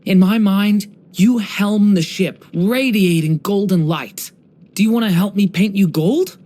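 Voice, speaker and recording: Game role: young dragon in boy's form played by an adult actor, mid-range pitch, gentle, polite, curious and a little hesitant. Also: natural voice. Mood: warm, affectionate. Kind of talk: scripted game character voice lines.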